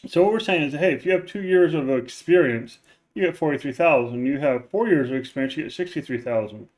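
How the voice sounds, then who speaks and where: A man's voice: lively intonation, fairly quick, darkish tone. Man, professor, casual recorded lecture, rambling, filler words, voice-normalizing filter. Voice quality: says his voice is very deep. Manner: rambling, informal, many fillers and restarts. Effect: neural voice normalizing filter.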